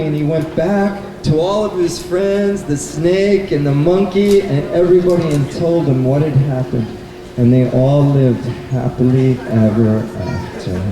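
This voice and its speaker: A man presenting a live puppet show, telling stories and explaining their lessons to children in a measured, didactic way. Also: on the high side. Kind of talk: live storytelling and talk to children. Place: indoor bookstore event. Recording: echoey, noisy room, distant mic.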